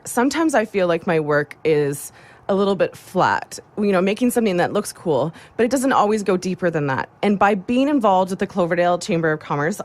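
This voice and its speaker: Enthusiastic woman guest, lowish voice. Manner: enthusiastic, upbeat. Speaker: radio show guest, designer and chamber board member. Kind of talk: casual promotional interview talk.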